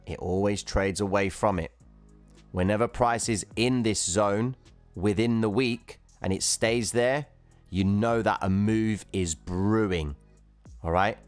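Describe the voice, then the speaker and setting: A man hosting a livestream, casually explaining chart trading and chatting with viewers who comment. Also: fairly low pitch. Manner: chatty, reads viewer chat, calls viewers guys and bro.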